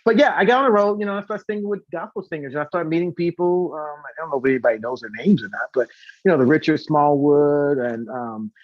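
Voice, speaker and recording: An American man, an interview guest and singer, telling casual anecdotes in an animated way and acting out the dialogue. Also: on the high side, fast. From St. Louis, United States. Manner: animated, acts out quoted dialogue. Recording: echoey, dull lo-fi audio.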